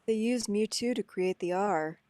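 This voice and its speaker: A woman's voice: medium pitch, normal speed, warm tone, slight background noise, natural voice. A woman reading the part of a doubtful young man searching for his father in a table reading. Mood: puzzled. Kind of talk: online script table reading.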